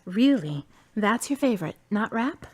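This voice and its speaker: A woman's voice: mid-range voice, big pitch swings, measured pace, darkish tone, breathy edge. A playful young woman, played by a woman, with a light, teasing way of talking. She sounds natural and unforced in this amateur audio drama.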